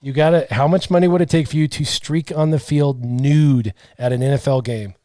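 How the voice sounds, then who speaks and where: A man's voice: mid-range voice, fairly quick, no room sound. A man in his thirties hosting a podcast, chatting casually and reading viewer comments from a small studio mic. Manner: relaxed, reads out viewer comments. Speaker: podcast host. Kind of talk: casual chat. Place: small brick-walled studio. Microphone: headset and studio mic.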